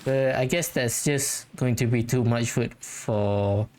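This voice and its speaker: A video game host giving casual commentary and thinking out loud while playing. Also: mid-range voice, takes it slowly, noisy background.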